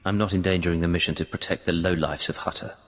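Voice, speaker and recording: A man voicing a cool, low-voiced spy. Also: fast, faint music behind, close to an everyday voice. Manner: calm, clipped, dry. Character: cool, dry male imperial spy. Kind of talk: video game cut-scene acting. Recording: long reverb.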